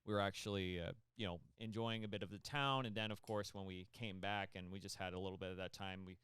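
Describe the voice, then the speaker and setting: A man's voice: low voice, steady tone, quick pace, fairly bright tone, clear, resonant tone, no echo, studio quality. A man hosting a casual interview chat, rambling and full of fillers. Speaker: host.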